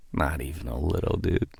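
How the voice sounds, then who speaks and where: Deep, gravelly, deadpan male support agent, acted by a man. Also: full character voice. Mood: deadpan, patient. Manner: deadpan, resigned. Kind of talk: comedy phone sketch.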